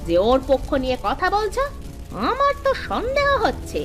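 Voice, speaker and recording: High-pitched quarrelsome village housewife, acted by a woman. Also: huge pitch swings, moderate pace, full character voice. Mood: wronged, accusing. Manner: scolding, wailing, theatrical. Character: quarrelsome, suspicious village housewife, dramatically wronged. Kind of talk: Bengali cartoon story acting.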